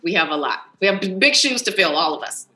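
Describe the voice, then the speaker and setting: An American woman in her early fifties chatting casually and animatedly on a video call, telling family anecdotes as a talkative podcast guest. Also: medium pitch. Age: early 50s. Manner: animated, talkative, quick to laugh. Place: indoors, on a Zoom video call. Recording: roomy, echoey video call.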